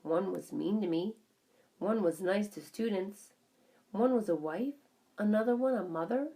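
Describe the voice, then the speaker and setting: A woman's voice: mid-range voice, measured pace, dark tone, voice pushed up, close to an everyday voice, low-quality recording. A woman voicing a small, observant pet hamster who tells a children's story in chatty asides. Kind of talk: first-person children's storybook narration.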